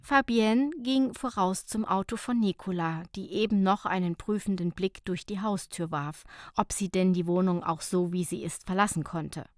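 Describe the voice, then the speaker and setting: A woman's voice: medium pitch, normal speed, hardly any pauses, dry recording, clean studio sound. A woman narrating a light, humorous short story as an audiobook reader, in a scripted reading style.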